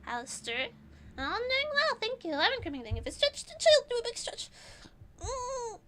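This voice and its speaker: A woman from Portugal, chatting with her stream audience in a loose, rambling way and breaking into giggles often. Her voice sits high and swoops up and down a great deal. It has a bright, sharp edge to it. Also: huge pitch swings, normal speed. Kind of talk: casual chat reading live chat.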